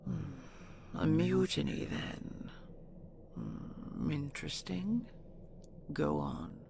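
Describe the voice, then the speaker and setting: A woman playing a proud, possessive female dragon in an audio roleplay, haughty and theatrical, with a gravelly, menacing delivery. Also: low-pitched, wildly swooping pitch, background noise, full character voice. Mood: coolly intrigued. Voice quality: gravelly, breathy growls. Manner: haughty, theatrical, slow deliberate threats.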